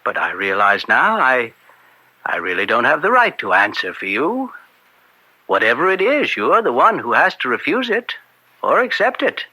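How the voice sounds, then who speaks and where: An actor playing a middle-aged political fixer in an old-time radio drama, persuasive and polished, with a slick, insinuating way of talking. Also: male voice, mid-range voice, sing-song, normal speed, pushed and bright, no room sound, heavily acted, low-quality recording. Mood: coaxing. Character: smooth-talking political fixer, persuasive and slippery family friend.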